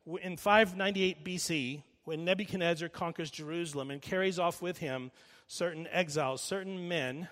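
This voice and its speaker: Emphatic middle-aged preacher in a reverberant hall. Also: male voice, fairly high pitch. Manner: emphatic, slows down and repeats for emphasis, drops quiet when storytelling. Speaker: pastor. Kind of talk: sermon. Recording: long reverb of a large hall.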